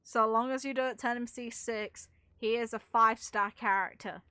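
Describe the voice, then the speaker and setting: Bright-voiced woman, casual gaming commentary. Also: on the high side, steady tone, moderate pace, clean tone, no echo. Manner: opinionated, rambling, casual swearing. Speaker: game streamer.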